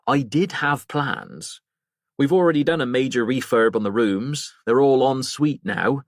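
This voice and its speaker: A man's voice: medium pitch, lively intonation, measured pace, no echo, clean studio sound, voice pushed up. A man voicing a casual, forthcoming village pub landlord in an audiobook. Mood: keen. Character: affable village pub landlord, eager and enterprising. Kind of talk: audiobook character voice.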